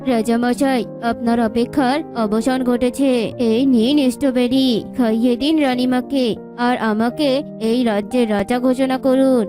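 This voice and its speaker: A woman's voice: on the high side, steady tone, a little slow, voice pushed down, natural voice. Lady doing a cocky grumbling cartoon crow guy, voice dropped way down, music behind. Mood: boastful, eager. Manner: scornful, boastful. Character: arrogant, grumbling male crow who wants the crown. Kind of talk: children's cartoon voice acting.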